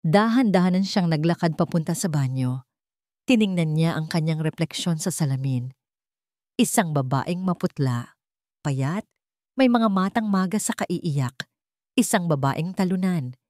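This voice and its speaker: Low-pitched woman narrating a drama. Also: expressive, a little slow, long pauses, a little mellow, dry recording, studio quality. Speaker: storyteller. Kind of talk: scripted dramatic story narration.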